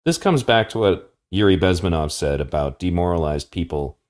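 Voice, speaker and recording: A man with a very deep voice delivers scripted commentary in a firm, assertive tone. He speaks with steady conviction. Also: normal speed. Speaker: podcast commentator.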